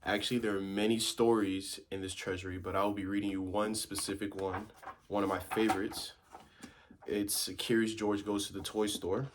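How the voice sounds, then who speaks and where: A man's voice: lowish voice, flat delivery, moderate pace, a little hiss or hum. A man reading a children's story aloud as a volunteer, informal and occasionally stumbling over his words.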